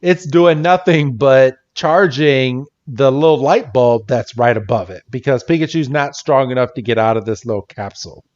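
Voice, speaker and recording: A man co-hosting a podcast in a casual, halting recap style, acting out lines as he goes. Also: medium pitch, highs cut off. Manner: halting, acts out characters' lines. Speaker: podcast co-host. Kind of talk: casual episode recap chat.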